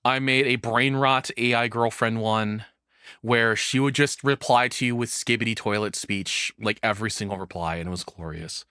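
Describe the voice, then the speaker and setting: Low, bright-voiced man rambling casually. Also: moderate pace, clean tone, dry recording, studio quality. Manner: rambling, frank, crude humor. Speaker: reaction streamer. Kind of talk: casual live reaction commentary.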